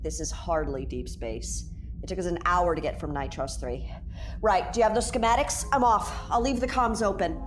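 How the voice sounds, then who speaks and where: A woman's voice: lowish voice, normal speed, over music, close to an everyday voice. A woman playing a playful, teasing space explorer and ghost show host in an audio drama. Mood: wary, dry.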